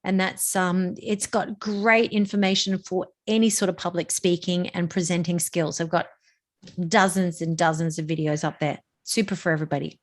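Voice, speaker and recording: A woman's voice: fairly low pitch, fairly even intonation, moderate pace. A middle-aged woman speaks as a voice coach on an interview show. She talks with energy and emphasis, like a teacher spelling out each point for her listeners. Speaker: voice coach guest. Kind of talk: interview answers, coaching advice.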